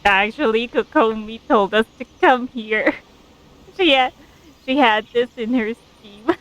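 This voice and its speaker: A woman streamer chats casually over her game in a smooth, clear voice. She takes her time, musing aloud with an amused, hesitant manner. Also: fairly high pitch, takes it slowly, a bit bright, rough recording. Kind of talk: casual playthrough commentary.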